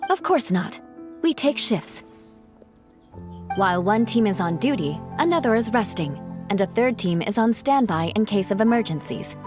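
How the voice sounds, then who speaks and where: Woman acting young female officer, proud, composed, matter-of-fact, expressive melody, faint music, video game cutscene. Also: mid-range voice, moderate pace, natural voice.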